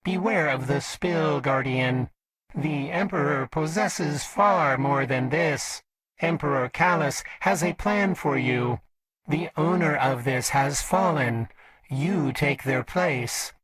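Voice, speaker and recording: Servile robot attendant, video game, formal, slightly high voice, unhurried, noisy audio. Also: male voice, fairly bright tone. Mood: polite, ominous. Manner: polite, formal, measured, servile. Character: courteous robot servant of a decadent exiled emperor. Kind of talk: scripted game character lines.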